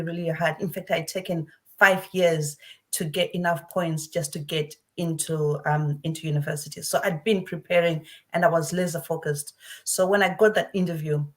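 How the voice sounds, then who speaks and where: A woman from Zimbabwe, middle-aged, tells her story in a slightly low voice. She talks earnestly and often restarts her phrases, the way people do when sharing something personal. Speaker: guest speaker. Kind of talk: personal testimony.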